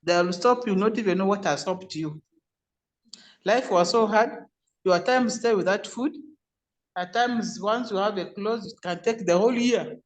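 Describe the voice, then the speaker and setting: A man's voice: high voice, normal speed. A man with a Ugandan accent telling a personal story in an online meeting.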